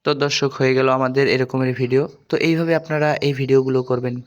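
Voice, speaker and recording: A man's voice: mid-range voice, level tone, quick pace, smooth, muffled recording. A man hosting a tutorial, explaining app steps one by one. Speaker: tutorial host. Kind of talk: step-by-step app tutorial narration.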